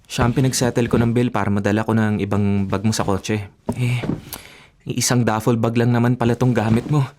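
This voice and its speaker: An actor with a Filipino accent playing a cautious, grumbling racing teammate who is easily talked round, in a radio drama. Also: male voice, mid-range voice, flat delivery, brisk, background noise, natural voice. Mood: casual grumbling.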